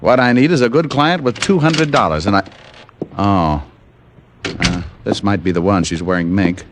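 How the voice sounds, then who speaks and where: A man playing a flippant, smooth-talking private detective in an old-time radio drama, full of wry quips. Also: lowish voice, normal speed, noisy background, natural voice. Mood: flirtatious, wry.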